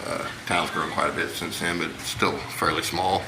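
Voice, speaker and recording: An American man from Indiana giving a casual, plain-spoken, unhurried how-to demonstration as a hobby vlogger, pausing as he works. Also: rumbling low, fairly even intonation, vocal fry, noisy background. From Indiana, United States. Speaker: amateur radio hobby vlogger. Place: outdoors.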